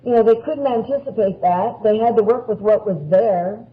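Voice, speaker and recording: A woman's voice: fairly high pitch, normal speed. A woman speaking as a guest expert, explaining practical matters in a plain-spoken, conversational way. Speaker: nurse, podcast guest. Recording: dull lo-fi podcast audio.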